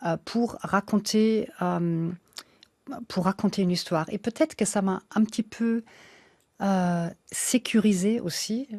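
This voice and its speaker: A woman's voice: mid-range voice, a little slow, darkish tone, no room sound. A woman who is not a native French speaker, an author speaking as a guest in a radio interview, hesitant with many fillers and restarts.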